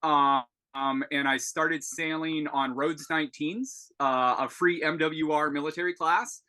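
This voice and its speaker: A man in his forties with a bright, fairly high voice, telling his story over a video call. He stops and restarts often, filling gaps with ums and uhs. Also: fairly even intonation. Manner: halting, many fillers and restarts. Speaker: podcast guest. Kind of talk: casual video-call interview.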